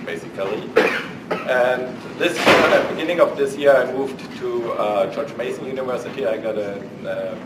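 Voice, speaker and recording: A man with a German accent introducing his research at a faculty panel, halting with many fillers. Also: mid-range voice. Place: conference room. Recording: echoey room, far mic, noise.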